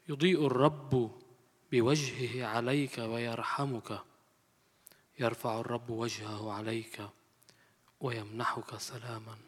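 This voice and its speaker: A man whose first language is Arabic, a missionary speaking a benediction in a slow, solemn, measured way. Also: mid-range voice, husky. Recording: long reverb of a large hall.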